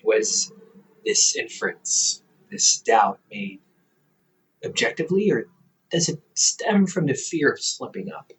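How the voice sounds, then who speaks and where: A man playing a teasing, reassuring older brother, a scholar in his thirties, in an intimate, casual audio roleplay with halting, conversational delivery. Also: on the high side, big pitch swings, a bit bright, full character voice, low-quality recording. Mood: gently reassuring. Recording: hobby microphone with faint background noise.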